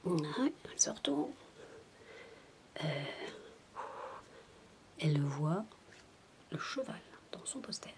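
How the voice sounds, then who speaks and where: A woman doing soft-spoken ASMR storytelling, playful and whispery, wandering into chatty asides as if to a child. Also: deep voice, very animated, takes it slowly, vocal fry, pauses often, a little hiss or hum. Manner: playful babysitter addressing a child listener. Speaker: ASMR roleplay performer.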